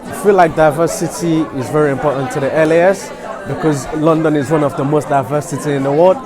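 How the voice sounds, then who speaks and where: A man's voice: on the high side, steady tone, fast talker. A man giving an informal answer in an interview at an event. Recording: music and crowd in large room. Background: music and chatter at busy event.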